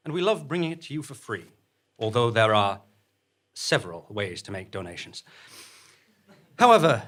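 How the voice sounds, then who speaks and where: Male podcast host, bright forceful voice, very lively intonation, mock-grave scripted comedy announcement. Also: lowish voice.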